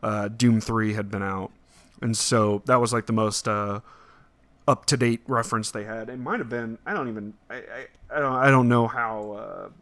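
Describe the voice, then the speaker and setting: A man chatting casually as a podcast host about entertainment, talking in a halting way with repeated phrases. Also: on the low side, fairly even intonation, fairly bright tone, no room sound. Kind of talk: casual movie discussion podcast.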